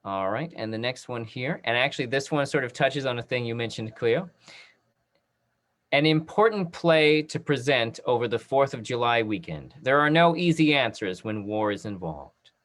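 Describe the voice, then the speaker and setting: Mid-pitched man moderating a panel. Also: animated, moderate pace, fairly bright tone. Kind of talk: moderating a panel talk, reading out comments.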